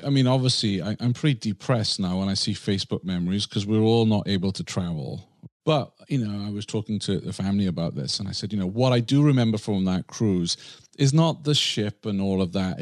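Low-voiced male podcast interviewer. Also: no room sound, clean studio sound. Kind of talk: conversational interview.

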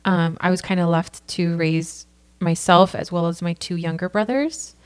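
A woman's voice: fairly low pitch, steady tone, moderate pace, smooth. Earnest California woman, lots of "like". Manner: earnest, frequent "like" and "you know" fillers. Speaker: podcast guest. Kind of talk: casual personal storytelling.

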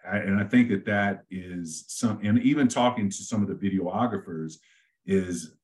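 A middle-aged man with a very low, deep voice, the kind people say belongs on radio. He talks in a relaxed, rambling way as a guest, full of fillers and restarts. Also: normal speed. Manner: easygoing, talks at length with many fillers and restarts. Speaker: real estate agent, guest. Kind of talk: casual podcast interview.

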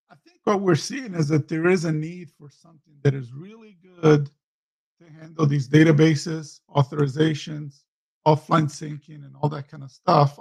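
Gravelly man giving closing thoughts. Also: fairly high pitch. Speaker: panel guest. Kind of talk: casual chat.

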